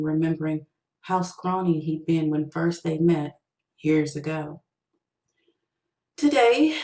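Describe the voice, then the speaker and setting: A woman's voice: on the low side, a little mellow, roomy sound, rough recording. A woman author reading her own short story as scripted fiction narration.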